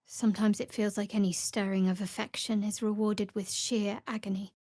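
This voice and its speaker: An actress playing a guarded, devout young woman cleric in a video game, with a wry, measured delivery. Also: mid-range voice, level tone, a little mellow, no echo, clean studio sound, close to an everyday voice. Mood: earnest, solemn. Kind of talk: video game character voice acting.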